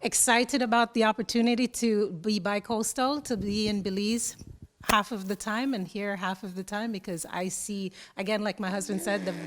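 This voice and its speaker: Jamaican-born woman guest, calm and reflective, medium-pitched voice, slight background hiss. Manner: reflective, unhurried, speaks of slowing down. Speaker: interview guest, college IT teacher. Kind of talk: relaxed conversational interview answers.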